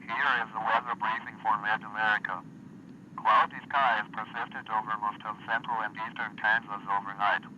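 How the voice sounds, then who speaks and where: A man's voice: fairly low pitch, moderate pace. Synthesized male weather radio voice. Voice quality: synthesized computer voice. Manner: even, clipped, word-by-word delivery. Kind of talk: automated weather broadcast reading. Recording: dull lo-fi radio recording with faint hiss.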